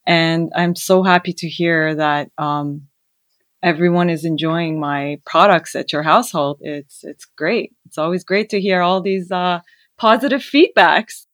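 A woman's voice: on the low side, measured pace, dry recording, clean studio sound. A woman born in Iran and raised in Canada, a guest telling her story in an earnest, hesitant way with many fillers. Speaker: guest, skincare founder. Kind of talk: casual interview conversation.

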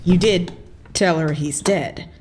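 Female intelligence agent, lowish pitch, curt, dry, blunt, evasive, audio drama. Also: takes it slowly, a little mellow. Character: brusque, evasive female CIA agent abroad.

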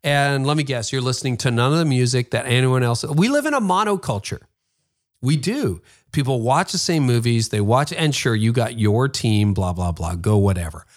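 A man's voice: mid-range voice, normal speed. A man in his fifties with a Toronto Canadian accent, talking emphatically in a casual interview and sarcastically mimicking other people's excuses. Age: 50s. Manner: emphatic, animated, mock-quoting excuses with sarcasm. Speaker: podcast host being interviewed.

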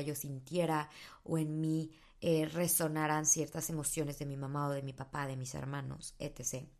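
A woman's voice: low-pitched, gravelly. A woman, candidly sharing personal stories on her podcast with many fillers. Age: 20s. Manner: candid, thinking aloud with fillers. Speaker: podcast host. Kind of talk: casual personal monologue.

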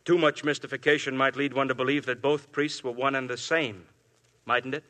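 A shrewd, persistent police inspector, played by a man in a 1950s radio play. He presses his questions politely but won't let go, in a bright, sharp tone. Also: mid-range voice, fairly even intonation, fairly quick, lightly acted. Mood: probing. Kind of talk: 1950s radio drama acting.

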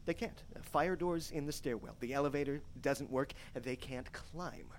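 An actor playing a starving, trapped male survivor in an audio horror drama, desperate and darkly joking, talking in a halting, stammering way between murmurs and strained yells. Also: fairly high pitch, lightly acted. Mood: shaken, resigned. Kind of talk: live audio horror drama, recorded diary monologue. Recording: clearly noisy live stage recording.

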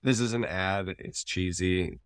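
A man with a deep voice speaks as a podcast co-host. He explains his views in a casual, analytical way, recorded close and dry with hardly any room sound. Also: unhurried, clear, resonant tone, no echo.

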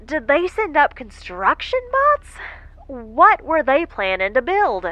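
Bright-voiced, wry stranded space explorer. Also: female voice, sits high, very animated, takes it slowly, no room sound, muffled recording, heavily acted. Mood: strained, puzzled. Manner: wry, folksy, muttering asides. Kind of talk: sci-fi audio drama acting.

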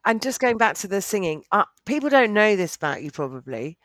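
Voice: mid-range voice, big pitch swings, fairly quick, fairly bright tone, no room sound. Effusive interview host with a dry sound. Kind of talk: podcast interview.

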